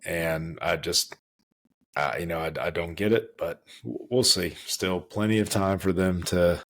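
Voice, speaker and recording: A man co-hosting a podcast in casual chat, emphatic and stumbling over restarts as he rants. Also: a little slow. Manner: emphatic, full of restarts and stammers; swings up high when worked up. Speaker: podcast co-host.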